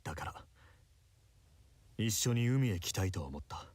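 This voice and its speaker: A gruff, reserved man in a visual novel, quietly tender underneath. His voice is very low and husky, recorded close and dry. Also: expressive, fairly quick, no echo. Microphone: close, dry studio mic.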